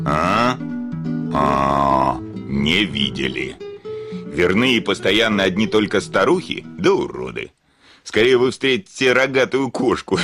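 An actor playing a hot-tempered, cynical landowner, blunt and sarcastic, in a theatrical classic radio play. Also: male voice, deep voice, heavily acted. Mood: cynical, lecturing. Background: music.

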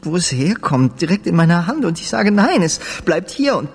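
A guilt-ridden musician in a radio drama, devout and unhinged. His voice is husky and halting, repeating itself like prayer, and rings in a long reverb. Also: high-pitched, sing-song, full character voice. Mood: confessing, dazed. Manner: halting, repetitive, murmuring prayer-like delivery. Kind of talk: German radio drama acting. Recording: roomy space with long reverb.

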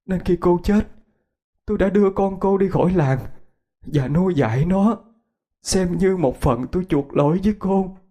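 Man as repentant older woman, choked, husky, raised pitch, confessing, music behind. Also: moderate pace, mellow. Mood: remorseful. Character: older woman, remorseful adoptive mother, confessing.

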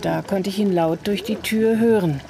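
An actress playing an observant, talkative neighbour giving witness testimony in a radio crime drama, telling it deliberately and with emphasis. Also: female voice, medium pitch, gravelly, natural voice. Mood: recalling.

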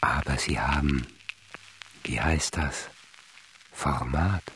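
A man's voice: very deep voice, takes it slowly, a bit bright, heavily acted, low-quality recording. An actor playing an ancient, spiteful, scornful old man in a German radio drama, theatrical and volatile. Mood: whispered disgust. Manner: theatrical, swinging from exclaiming to hissed whispers.

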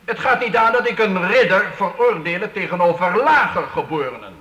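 A jovial lord of the court, high-voiced and swooping widely in pitch. He speaks with stately formality and command in a roomy radio play recording. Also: expressive, brisk, lo-fi sound, crowd noise. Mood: stern, commanding. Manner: stately, formal royal-we phrasing. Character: jovial, commanding medieval count holding court. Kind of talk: radio play acting for youth.

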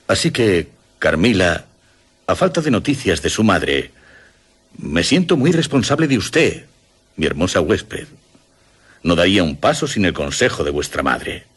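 A man's voice: low-pitched, fairly bright tone, husky, full character voice. An actor playing a courtly, old-fashioned, protective middle-aged father in a radio drama, formal and gallant in his delivery. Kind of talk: Spanish radio drama acting.

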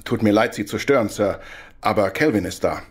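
A man's voice: on the low side, a little fast, noisy background, natural voice. A man acting in a German radio play as a dutiful police office subordinate, brief and deferential.

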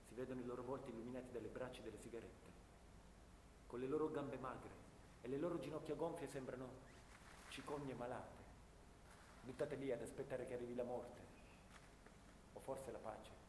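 A man playing a haunted, bitter young First World War soldier in a theatrical radio monologue, telling his story dramatically, between hushed and intense delivery. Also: medium pitch, fairly even intonation, normal speed, long pauses, natural voice. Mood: weary, pitying. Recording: roomy, long reverb, clear background noise.

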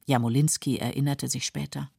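A woman with a husky voice giving sober, measured scripted documentary narration in a radio studio. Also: extremely low pitch, little pitch movement, warm tone, no room sound.

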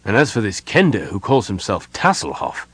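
A man's voice: on the low side, big pitch swings, moderate pace, husky, no room sound. A man voicing a dutiful young knight, stern and formal, with a clipped and reluctant manner. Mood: solemn, reluctant.

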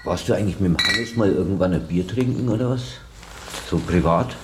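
A man's voice: low-pitched, brisk, very noisy, natural voice. A man playing a laconic, teasing garage band musician in naturalistic radio drama dialogue, offhand and sardonic. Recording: loud guitar music behind dialogue.

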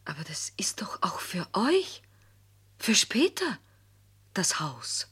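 An actress in a seventies radio play, playing a hushed, conciliatory mother who coaxes in a breathy whisper. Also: mid-range voice, wildly swooping pitch, slow, exaggerated voice. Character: hushed, conciliatory mother coaxing her children. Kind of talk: 1970s German radio play acting.

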